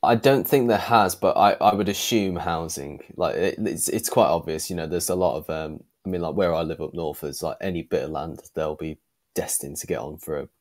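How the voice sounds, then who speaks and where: A man's voice: deep voice, a little fast. A man co-hosting a casual fan podcast over a video call, thinking aloud with plenty of ums. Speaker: podcast co-host. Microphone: video call with earphones.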